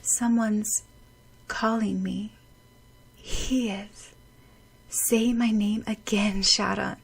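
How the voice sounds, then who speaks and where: A woman voicing a determined young girl heroine, often in breathy whispered inner thoughts. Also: fairly high pitch, slow pace, pushed and bright, pitched above the performer's own voice, full character voice, rough recording. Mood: whispering, desperate.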